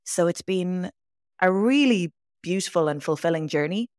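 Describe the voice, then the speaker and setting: A woman's voice: on the low side, animated, normal speed, a little mellow, dry recording. Dublin woman, 30s, talking over a video call, dry close sound, chatty and keen. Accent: Dublin Irish. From Dublin, Ireland. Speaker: guest, online Irish teacher. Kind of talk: casual interview.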